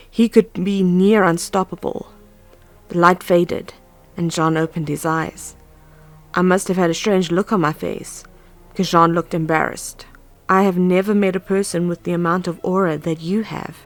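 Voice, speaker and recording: A woman playing an earnest, anxious but composed young huntress in a fan audio drama. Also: fairly low pitch. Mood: earnest, instructive. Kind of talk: fan audio drama, first-person narration and dialogue. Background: background music.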